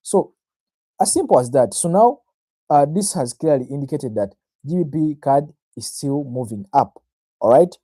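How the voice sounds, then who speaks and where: Ugandan man, Luganda native, very mellow dark tone, mid pitch, dry sound; confident, informal trading-stream explainer who repeats himself. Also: lively intonation, normal speed, dry recording. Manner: self-assured, addresses viewers directly, repeats phrases, checks in with "right?". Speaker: trader, livestream host. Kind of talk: informal live market analysis, explaining to viewers.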